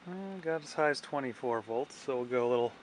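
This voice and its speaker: A man's voice: medium pitch, quick pace. Man narrating hands-on, noisy recording. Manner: technical, thinking aloud. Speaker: radio restorer narrating his own repair. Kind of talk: casual hands-on demonstration. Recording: clear background noise.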